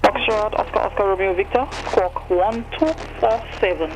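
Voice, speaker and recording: A man working as an air traffic controller, giving clipped clearances over an aircraft radio. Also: high voice, fairly even intonation, normal speed. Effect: aircraft radio transmission. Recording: noisy aviation radio with vehicle hum.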